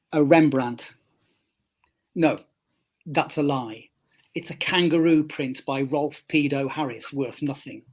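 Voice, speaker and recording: Poetry reader, mid-pitched voice, very unhurried, deliberate wry phrasing. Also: darkish tone. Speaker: poet reading own poem. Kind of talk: slow poetry reading.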